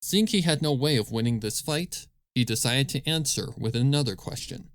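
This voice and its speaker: Man narrating a story, medium pitch. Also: animated, moderate pace, no room sound, studio quality. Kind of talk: scripted fan fiction story narration.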